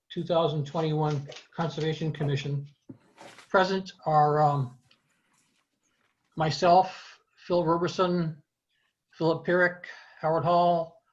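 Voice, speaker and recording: An American man chairing an online committee meeting in an informal, halting way, with muffled sound. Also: a little high. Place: remote video meeting.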